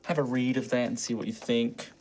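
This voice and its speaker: A man's voice: mid-range voice, little pitch movement, brisk, a little mellow. A man narrating a tabletop roleplaying game as game master, conversational with theatrical emphasis when he describes scenes. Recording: background music under the voice.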